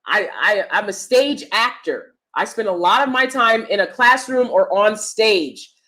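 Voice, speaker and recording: A woman with a loud, projecting stage voice, giving emphatic opinion commentary as a vlogger and swinging between loud points and soft asides. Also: medium pitch, expressive, unhurried.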